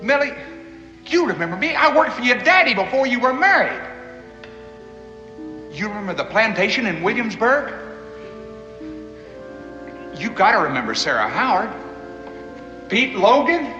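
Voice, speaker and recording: An actor playing a plainspoken American frontiersman, blunt and quick to threaten. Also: sits high, sing-song. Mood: pleading. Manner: blunt, pleading then threatening. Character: plainspoken American frontiersman, protective and hot-tempered. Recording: roomy, dull old TV soundtrack with music.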